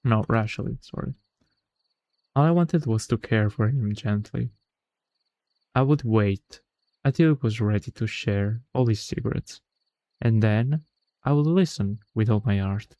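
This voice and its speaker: A man's voice: on the low side, unhurried, pauses often, warm tone, dry recording, dull audio. A man livestreaming a visual novel read-aloud in a casual, chatty way, often stumbling over words and correcting himself.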